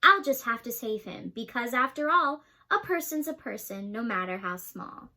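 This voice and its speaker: Storybook elephant, male, gentle and earnest, read by a young woman; bright and animated. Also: on the high side, normal speed, heavily acted. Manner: earnest, pleading.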